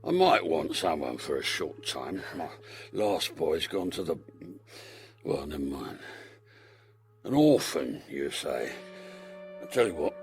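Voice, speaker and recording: An actor playing a menacing, suspicious shopkeeper in a period audio drama, curt and domineering. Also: male voice, very deep voice, gravelly, fairly bright tone, full character voice. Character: menacing, suspicious male barber shopkeeper hiring a boy.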